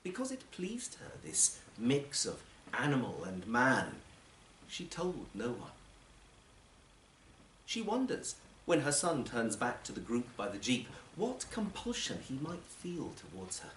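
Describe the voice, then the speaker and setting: A man reading a short story aloud at a live event, with measured, deliberate, dramatic phrasing. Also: mid-range voice, huge pitch swings, a bit bright. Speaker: actor and audiobook reader. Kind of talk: literary story reading, scripted narration. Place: performance space in a library, live event. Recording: roomy live recording with faint noise.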